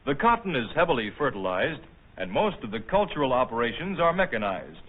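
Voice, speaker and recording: An American man reading formal, measured, scripted narration in the manner of a 1950s documentary newsreel. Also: medium pitch, big pitch swings, breathy edge. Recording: tinny band-limited old film soundtrack.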